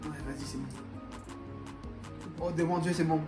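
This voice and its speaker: A man's voice: on the high side, steady tone, moderate pace, echoey room, music in the background. Laughing young man, far from mic. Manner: laughing, teasing. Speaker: guest friend.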